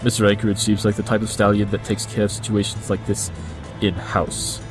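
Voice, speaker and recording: Guy doing smug brilliant detective pony, lowish voice, measured n superior, audio drama w soft music behind. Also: flat delivery, lightly acted. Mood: smugly pleased. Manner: measured, deductive, condescending.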